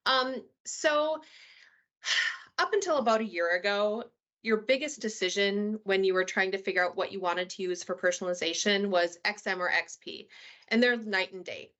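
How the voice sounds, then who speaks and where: A woman's voice: mid-range voice, moderate pace, a bit bright. Upbeat woman with a Minnesotan accent. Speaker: webinar presenter. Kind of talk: presenting slides.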